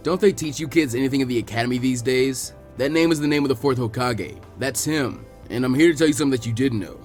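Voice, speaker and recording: A man puts on a gruff older ninja mentor from a story. He speaks bluntly, with some exasperation. His explanations are weighty and a little halting. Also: mid-range voice, faint music behind, close to an everyday voice. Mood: dry. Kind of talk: character voice in fan fiction reading.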